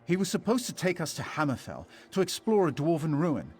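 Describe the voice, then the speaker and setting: A man's voice: on the high side, huge pitch swings, fairly quick, darkish tone, husky, soft background music, full character voice. A man playing a haughty, aggrieved scholar and artificer in a video game, wordy and indignant. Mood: aggrieved pleading. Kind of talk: video game character dialogue.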